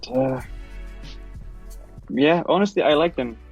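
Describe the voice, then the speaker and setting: A young man from Romania, co-hosting a casual live stream, relaxed and chuckling now and then. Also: a little high, slow. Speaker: co-host. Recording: dull lo-fi stream audio with faint music.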